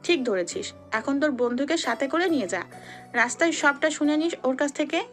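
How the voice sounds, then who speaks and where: A confident senior student lays down the law, firm and protective of her friend, as a woman plays her. Her voice is high and steady, and faint music runs underneath. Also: moderate pace. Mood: commanding. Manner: firm, commanding.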